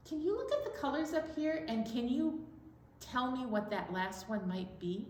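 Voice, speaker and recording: A woman's voice: medium pitch, recorded from a distance, slight background noise. A woman presenting rhymes and activities to young children, playful and encouraging, prompting them to answer, recorded in an echoey room away from the microphone.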